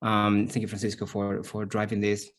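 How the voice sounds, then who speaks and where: A middle-aged man from Chile, a native Spanish speaker with a Chilean accent, lively and storytelling as a company CEO in a call interview. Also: fairly low pitch, moderate pace. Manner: lively, storytelling, frequent restarts. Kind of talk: business interview on a video call.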